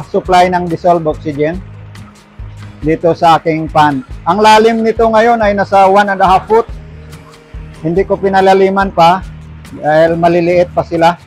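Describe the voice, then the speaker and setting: An older man with a Filipino accent giving casual, halting vlog commentary, mixing in English words. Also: high-pitched, a little mellow. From Philippines. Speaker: fish farmer and vlogger.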